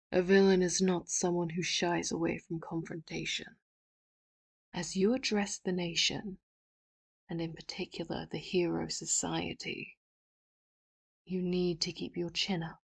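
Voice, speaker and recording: A woman voicing a possessive, doting female villain in an audio roleplay, with a soft, intimate voice that slips into whispers and sweetly manipulative talk broken by quiet laughs. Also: lowish voice, gravelly, husky, heavily acted. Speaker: ASMR roleplay voice actor. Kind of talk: audio roleplay, addressing the listener.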